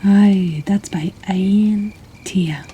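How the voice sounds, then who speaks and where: Slow, whispering woman reading poetry. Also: medium pitch, mellow. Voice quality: whispered, breathy. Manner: slow, soothing, intimate. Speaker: host of a poetry reading series.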